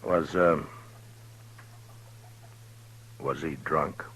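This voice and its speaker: An American man playing a calm, dry frontier marshal in a 1950s radio western, measured and understated. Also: rumbling low, slightly rough, full character voice. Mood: calm, firm. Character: calm, dry frontier marshal narrating his own story. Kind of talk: 1950s radio western acting, hard-boiled narration. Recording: 1950s radio drama with music behind.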